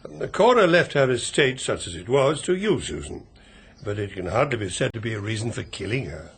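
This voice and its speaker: An English actor in a British radio drama playing a courteous older family solicitor with a formal, politely understated manner. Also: male voice, medium pitch, sing-song, brisk, breathy edge, heavily acted. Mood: polite, measured. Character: courteous, discreet older male family solicitor, dryly tactful.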